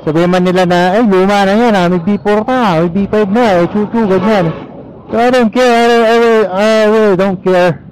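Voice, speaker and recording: A Filipino man with a high-pitched voice talks in a casual, rambling vlog style, slipping easily between Tagalog and English. He speaks into a helmet mic while riding, with faint vehicle and road noise around his voice. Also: steady tone, moderate pace, darkish tone, rough recording. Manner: easygoing Taglish code-switching. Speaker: motorcycle vlogger. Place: outdoors on a motorcycle, inside a helmet. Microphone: helmet intercom or action camera mic.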